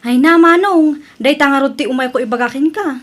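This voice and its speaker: A woman's voice: high-pitched, fairly quick, close to an everyday voice. A woman playing a hesitant bearer of bad news in an audio drama, with an Ilocano Filipino accent. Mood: anxious, hesitant.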